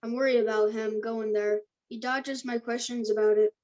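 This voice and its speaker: An eager, persuasive boy of fourteen acted out by a woman at a table read. The voice sits fairly high and comes through a dull, lo-fi recording. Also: steady tone, moderate pace, darkish tone, lightly acted. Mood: concerned.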